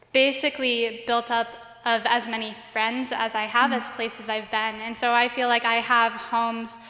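A woman's voice: on the high side, sharp tone. A young woman who grew up in Bosnia and Herzegovina, speaking on a student panel in an earnest, upbeat way. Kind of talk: casual panel talk. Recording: echoey hall.

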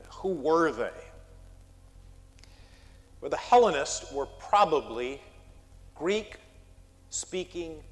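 Male preacher in reverberant sanctuary. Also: medium pitch. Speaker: pastor. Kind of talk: expository sermon. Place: church sanctuary.

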